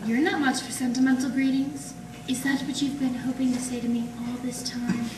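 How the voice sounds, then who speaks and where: Actress as pleading, secretive noir dame, dramatic 1940s radio style, higher register, far from the mic in a roomy space. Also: female voice, level tone, normal speed, close to an everyday voice. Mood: urgent, pleading. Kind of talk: 1940s radio melodrama acting. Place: live performance in an art gallery. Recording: distant mic in echoey noisy room.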